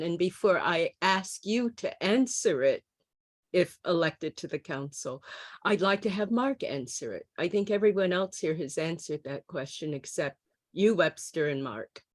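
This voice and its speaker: A woman hosting a talk show, politely moderating a candidate forum in a measured way. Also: lowish voice.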